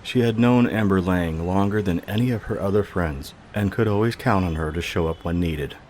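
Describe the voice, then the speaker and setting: Very deep male story narrator. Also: moderate pace, a little mellow, a little hiss or hum. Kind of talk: scripted third-person story narration.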